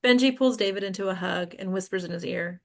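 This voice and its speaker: A woman's voice: medium pitch, fast talker. A woman hosting a table read, reading out screenplay stage directions as scripted narration.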